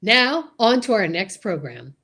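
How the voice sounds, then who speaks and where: A woman's voice: medium pitch, big pitch swings, a little slow, a bit bright, husky. A woman announcing an old-time radio show, with casual asides.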